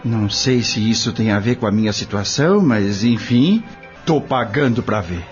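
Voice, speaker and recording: A man playing an insistent, plain-spoken farm labourer with a husky voice in a Brazilian audio drama. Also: fairly low pitch, animated, normal speed, lightly acted. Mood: intrigued, impatient. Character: curious, impatient male farm labourer with a grievance. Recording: dull lo-fi audio drama with music.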